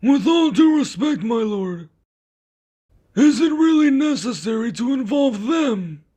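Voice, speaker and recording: A man's voice: very high voice, sing-song, unhurried, dark tone, slightly rough, exaggerated voice. A man playing a cautious, deferential royal aide in a fantasy ASMR roleplay, with formal speech.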